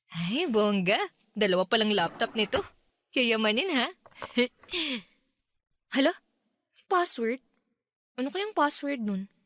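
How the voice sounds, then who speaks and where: High, bright young woman. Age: young adult. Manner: expressive, colloquial. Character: young woman, outspoken, emotional girlfriend. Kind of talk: radio drama acting.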